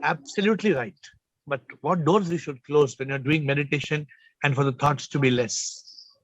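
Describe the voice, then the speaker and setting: High-voiced man teaching over a video call. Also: normal speed, a bit bright. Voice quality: slightly low, subdued voice today. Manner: emphatic, repeats phrases, questions listeners. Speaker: spiritual teacher hosting a call. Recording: muffled video call audio.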